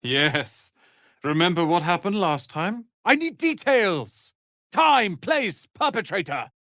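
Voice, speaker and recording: An actor voicing a proud, prickly young wizard's apprentice in a fantasy video game, haughty, theatrical and sharp-tongued. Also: male voice, high voice, very animated, takes it slowly, dry recording, studio quality, exaggerated voice. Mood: furious.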